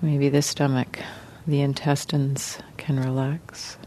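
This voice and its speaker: A woman teaching guided meditation in a gentle, unhurried manner, leaving long pauses between short phrases. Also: extremely low pitch, flat delivery, slight background noise.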